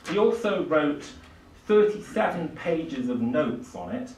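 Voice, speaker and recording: An older man giving a public lecture in a halting, hesitant way, with frequent pauses. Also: fairly high pitch, very animated, a little mellow. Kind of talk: public lecture, lightly improvised. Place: small indoor lecture room. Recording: echoey room, distant microphone.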